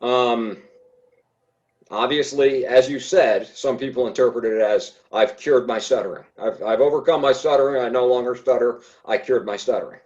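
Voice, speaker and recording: A man's voice: mid-range voice, moderate pace, a little mellow, dull audio. A man who stutters, speaking in a hesitant way with many fillers and restarts in a casual podcast conversation. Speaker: podcast guest.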